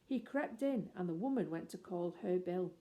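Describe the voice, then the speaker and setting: A woman reading a children's story aloud as expressive scripted narration. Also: on the low side, moderate pace. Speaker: audiobook reader.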